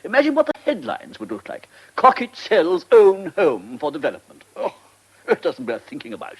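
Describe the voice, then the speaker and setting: An actor with an English accent playing a pompous, irascible government minister in a British radio drama, scolding and blustering. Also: male voice, sits high, wildly swooping pitch, normal speed, husky, exaggerated voice, rough recording. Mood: indignant bluster. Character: pompous irascible male government minister, middle-aged or older.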